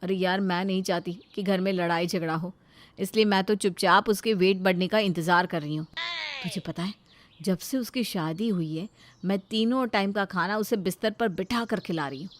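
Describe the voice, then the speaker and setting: A woman's voice: medium pitch, moderate pace, a little hiss or hum, pitched below the performer's own voice, close to an everyday voice. A woman voicing a resentful, falsely sweet elder sister-in-law, complaining dramatically in a moral story. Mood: aggrieved, dramatic. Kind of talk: animated Hindi moral story dialogue.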